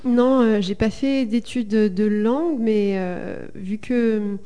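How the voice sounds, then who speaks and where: Soft-toned young woman, hesitant. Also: medium pitch, slow pace, clear, resonant tone. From Germany. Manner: hesitant, many fillers, laughs easily. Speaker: singer in a folk duo. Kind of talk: casual radio interview.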